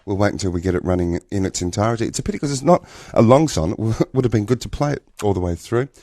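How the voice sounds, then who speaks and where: A man's voice: lowish voice, animated, very fast, a little mellow, no room sound, clean studio sound. A man hosting a casual radio interview, effusive and jovial with his guest. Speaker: radio host.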